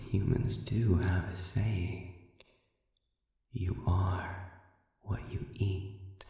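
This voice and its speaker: A man playing a sadistic, manipulative demon in an audio roleplay, smug and philosophical, with a low gravelly voice and a deliberate, murmuring delivery full of pauses. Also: mellow, heavily acted. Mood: sly, mocking. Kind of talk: dramatic audio roleplay monologue. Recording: heavily reverberant, distant cavernous sound.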